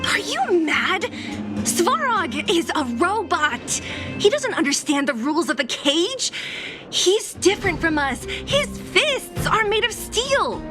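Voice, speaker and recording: A woman's voice: very high-pitched, very animated, slow, music in the background, full character voice. A woman playing a stern, sensible young comrade in a video game, skeptical and wearily scolding.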